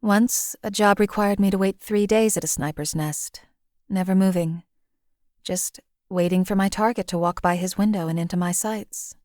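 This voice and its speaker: A woman voicing a cold-blooded female assassin companion in a game, flat, clipped and detached. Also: mid-range voice, normal speed, dry recording, clean studio sound, close to an everyday voice.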